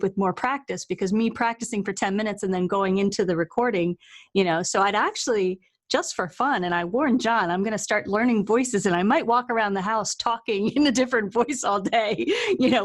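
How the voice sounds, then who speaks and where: A woman's voice: mid-range voice, brisk. Chatty woman hosting a casual interview. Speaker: interview host and author.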